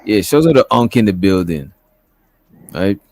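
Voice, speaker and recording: Laid-back low-voiced stream host. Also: male voice, lively intonation, a little slow, a little mellow. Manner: laid-back, slangy, asks questions. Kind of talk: casual chat.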